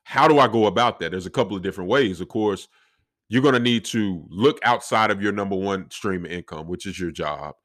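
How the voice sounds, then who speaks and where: A young man with a deep voice talking reflectively on his solo podcast, with faith woven into his talk. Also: a bit bright. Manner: reflective, faith-filled, conversational. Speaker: podcast host.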